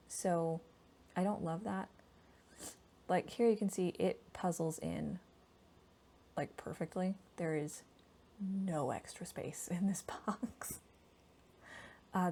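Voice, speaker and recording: Soft-spoken creaky woman, mellow ASMR voiceover. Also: lowish voice. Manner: gentle, hushed, unhurried and informal. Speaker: host of a board game ASMR channel. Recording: steady background noise from a laptop. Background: laptop fan noise.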